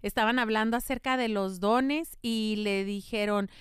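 A woman's voice: medium pitch, fairly even intonation, unhurried, clean tone, dry recording, clean studio sound. Earnest middle-aged woman. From United States. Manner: earnest, explanatory, faith-tinged, with spoken fillers. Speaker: podcast host. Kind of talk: earnest informative monologue.

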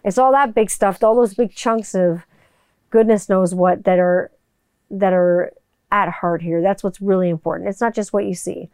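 Casting note: woman voiceover artist in a loose, rambling home monologue; smooth clear voice, dry close sound. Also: medium pitch, steady tone, normal speed, darkish tone, dry recording. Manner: chatty, rambling, swears casually. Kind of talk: casual monologue to viewers. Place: home room with people upstairs.

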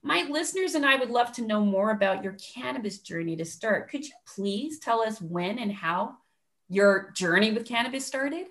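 A woman's voice: on the high side, lively intonation, moderate pace, fairly bright tone. A woman hosting a podcast interview, asking questions with eager enthusiasm. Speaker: podcast host.

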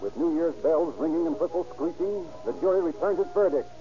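A man narrating a 1930s radio crime drama in a measured, declamatory way. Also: a little high, animated. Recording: muffled old radio recording over music.

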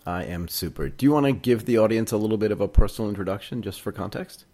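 Deep-voiced upbeat male host. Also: quick pace, warm tone. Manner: upbeat, direct questions. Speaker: podcast host. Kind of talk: interviewing a guest.

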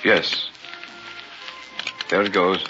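A man's voice: deep voice, little pitch movement, very slow. An actor playing a pompous, evasive carnival showman and hypnotist in a radio horror drama. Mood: businesslike, careful. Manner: grandiose barker patter, curt when challenged. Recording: old muffled radio recording with music.

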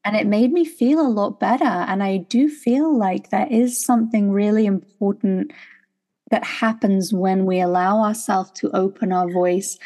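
A woman's voice: mid-range voice, unhurried. A woman hosting a casual podcast in an ordinary mid-range voice. She reflects aloud, affirms her guest often and fills her sentences with "like" and "um". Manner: warm affirming listener, thoughtful, "like" fillers. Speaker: podcast host.

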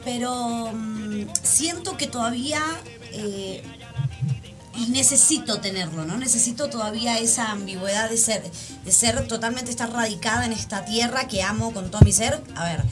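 A woman singer from Argentina with an Argentine accent tinged with Mexican, talking as a guest in a casual radio interview, talkative, lively and self-assured. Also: fairly high pitch, moderate pace, over music. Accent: Argentine with some Mexican.

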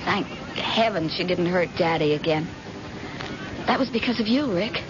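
An actress playing an anxious, tender grown daughter, earnest and pleading, in an old-time radio drama. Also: female voice, on the low side, animated, normal speed, close to an everyday voice. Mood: shaken, subdued. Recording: dull, noisy old radio recording.

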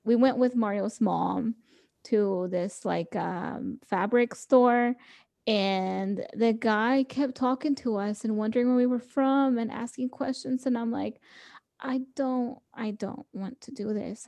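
A woman's voice: on the high side, unhurried, gravelly, a little mellow. A young woman co-hosting a podcast, chatting casually and laughing often as she quotes people. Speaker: podcast co-host. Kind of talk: casual chat.

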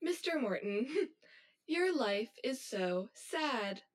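A woman playing a cold, blunt high-achieving schoolgirl who talks in a stiff, formal, robotic way in an audio drama. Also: lively intonation, slow. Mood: cruel, mocking. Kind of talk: scripted audio drama acting.

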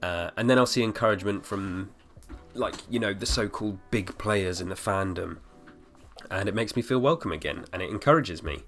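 Slightly hoarse deep-voiced male podcaster. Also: fairly quick, a bit bright, soft background music. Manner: rambling, full of fillers and restarts. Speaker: podcast host. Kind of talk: casual solo podcast talk.

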